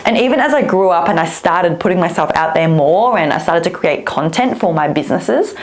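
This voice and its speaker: A woman whose first language is Vietnamese, a speaking coach giving an upbeat, expressive talk straight to camera. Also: on the low side, brisk.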